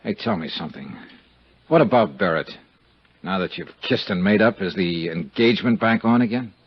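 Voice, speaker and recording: Low-voiced hard-boiled 1950s radio detective man. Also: expressive, normal speed, husky. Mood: cynical, probing. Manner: hard-bitten, wry, clipped. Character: tough, cynical hard-boiled male insurance investigator. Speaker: lead actor and narrator. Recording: muffled, hissy 1950s radio recording.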